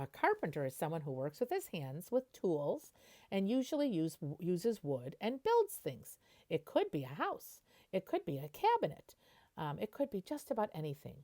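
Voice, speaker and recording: Lady teacher doing a kids story read aloud, kinda low voice, super up and down, explains stuff simply. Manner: expressive, explains words simply. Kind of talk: children's picture book read-aloud with explanations.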